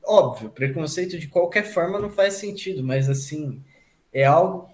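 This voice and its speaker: A man's voice: on the high side, expressive, normal speed, a little mellow. Brazilian male host in an echoey room. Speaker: podcast host. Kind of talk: casual interview.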